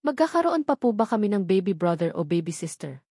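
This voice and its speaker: A woman's voice: mid-range voice, very animated, fairly quick, dark tone, no echo. A brave little Filipino girl in a storybook tale, voiced by a woman narrator. She speaks plainly, with open curiosity. The sound is dry. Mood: curious. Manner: clear, curious.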